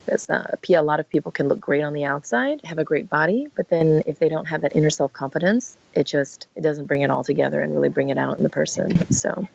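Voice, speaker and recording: A woman's voice: low-pitched, fast talker, gravelly, few pauses, darkish tone, no room sound. A woman in her forties, originally from Vietnam, answering interview questions in an earnest, reflective way with some hesitations. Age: 40s. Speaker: guest. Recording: dry, muffled lo-fi sound.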